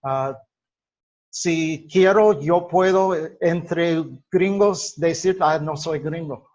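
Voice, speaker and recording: A man gives an explanatory lecture, illustrating it with personal examples. His voice sits slightly high and he speaks very slowly and deliberately, halting between phrases. The recording is dull and lo-fi. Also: darkish tone, slight background noise. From United States. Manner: halting, deliberate, with drawn-out pauses. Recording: dull lo-fi sound.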